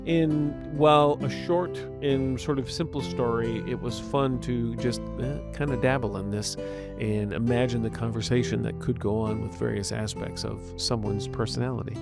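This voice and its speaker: A man's voice: mid-range voice, fairly even intonation, normal speed, creaky, loud music behind the voice. A man speaking as a story author and podcast narrator, giving an informal note and outro.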